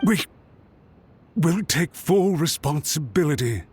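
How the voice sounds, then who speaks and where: A man's voice: a little high, lively intonation, slow pace, breathy edge, faint music behind, heavily acted. A man playing a servile official in a video game, anxious, deferential and desperate as he pleads with a superior. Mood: anxious, earnest.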